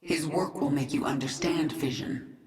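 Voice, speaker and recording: An actress playing a cold, ruthless queen of an alien swarm in a video game, speaking with deliberate command. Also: low-pitched, a little mellow, lightly acted. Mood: cold, questioning. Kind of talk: video game cutscene acting. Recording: echoey, under music.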